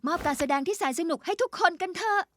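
A woman voicing a kindly, patient kindergarten teacher in a children's cartoon, gently explaining and reassuring. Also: extremely high pitch, quick pace, dry recording, studio quality. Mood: encouraging.

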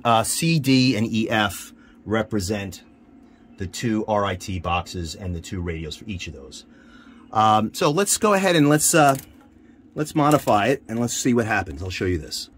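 Low-voiced man, halting hands-on demonstration. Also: slightly rough. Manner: halting, thinking aloud while handling gear. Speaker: radio hobbyist demonstrating equipment. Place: inside a military-style off-road vehicle. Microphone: handheld camera, one hand free. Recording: noisy handheld camera audio.

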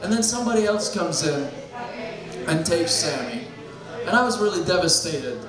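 A young man doing a deadpan stand-up set with dark jokes on a bar stage, talking into a handheld mic. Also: fairly high pitch, moderate pace. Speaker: stand-up comedian. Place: small bar stage with a live crowd. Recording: echoey room with loud crowd.

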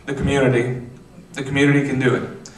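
A man in his thirties, a state legislator, answering questions on a panel with measured, filler-filled speech into a handheld mic. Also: mid-range voice, level tone. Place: theater stage. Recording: roomy, distant, faint noise.

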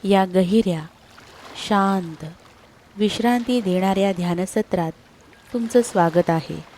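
A woman speaks very slowly in a mid-pitched voice, guiding a sleep meditation. Her delivery is soothing and scripted, with short phrases separated by long pauses. A faint layer of trickling water ambience runs behind her. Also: a little mellow, slight background noise. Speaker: guided meditation narrator.

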